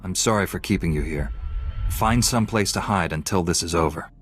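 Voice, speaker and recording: Calm, low-pitched heroic knight played by a man. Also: normal speed, natural voice. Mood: sober, concerned. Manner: calm, principled. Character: earnest, composed young heroic male Jedi knight. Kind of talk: video game voice acting. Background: music.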